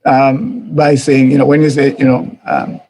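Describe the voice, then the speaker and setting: A man's voice: mid-range voice, little pitch movement, measured pace, dark tone, slight background noise, rough recording. A man with a Jamaican accent giving an informal church teaching talk, conversational and repetitive, often chuckling and acting out quoted voices. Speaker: teacher of a church life series.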